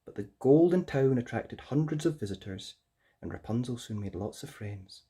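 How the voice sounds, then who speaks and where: A woman narrating a children's fairytale as an expressive storyteller. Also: very deep voice, normal speed, breathy edge.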